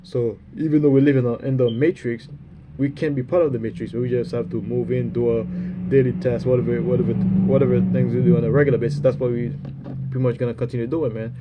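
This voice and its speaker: A man with a stuffy, runny nose giving a rambling spiritual talk, halting and full of fillers, often ending phrases with "man". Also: mid-range voice, steady tone, highs cut off, background noise. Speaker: religious speaker.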